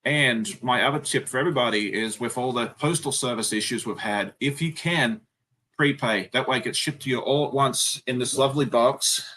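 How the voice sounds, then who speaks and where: A man's voice: mid-range voice, a little fast, bright, forceful tone, slight background noise, low-quality recording. A man with an Australian accent, a guest quilter chatting in a friendly way on a video call. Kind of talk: casual chat on a video call.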